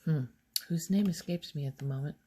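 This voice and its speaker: A soft-spoken woman recording casual ASMR, rambling in a hushed voice and musing aloud as she goes. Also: deep voice, a little fast, a little hiss or hum. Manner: hesitant, musing aloud, trailing off. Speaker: ASMR video creator.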